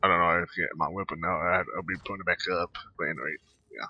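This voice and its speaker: A man's voice: low-pitched, steady tone, quick pace, breathy edge. A man playing a game and making casual, mumbled comments into a headset. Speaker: gameplay streamer. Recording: dull, lo-fi headset mic.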